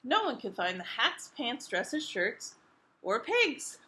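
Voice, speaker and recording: Female children's storyteller, high bright voice, expressive picture-book read-aloud for little ones, engages listeners with questions. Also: slow pace. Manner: expressive, asks the young listeners questions as she reads. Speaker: children's librarian reading a storytime.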